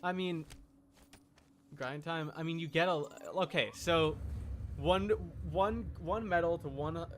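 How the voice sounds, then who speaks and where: A man giving casual, deadpan joking live commentary while playing a video game. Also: on the high side, unhurried. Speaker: game streamer. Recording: clear background noise.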